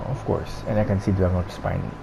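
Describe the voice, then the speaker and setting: A game streamer with a Filipino accent giving casual, halting gameplay commentary. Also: deep voice, little pitch movement, gravelly, noisy background. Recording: dull lo-fi mic over game music.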